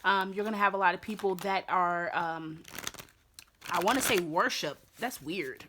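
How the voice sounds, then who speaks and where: A woman with a slightly raspy voice giving a casual tarot reading, chatty and repetitive, with lots of fillers. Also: on the low side, normal speed, background noise. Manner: chatty, repeats herself with many fillers. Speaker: tarot reader.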